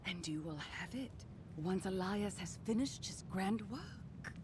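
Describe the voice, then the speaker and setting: A woman's voice: mid-range voice, expressive, unhurried, noisy background, close to an everyday voice. Woman as sly, swooping cult villain. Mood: coaxing, ominous. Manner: sly, obsequious, grandiose. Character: scheming female cult servant soothing a brutish master. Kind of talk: dark fantasy game acting.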